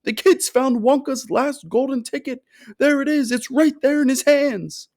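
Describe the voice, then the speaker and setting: A man voicing an excitable, kindly fat male shopkeeper who shouts with delight, in a storybook reading. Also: medium pitch, normal speed, no echo, clean studio sound. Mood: ecstatic shouting.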